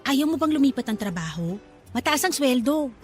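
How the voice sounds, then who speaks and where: A woman's voice: high-pitched, expressive, fairly quick, soft background music. A woman playing a sassy, gossipy young shop worker in a Filipino radio-style drama, slangy and teasing. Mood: eager, gossipy.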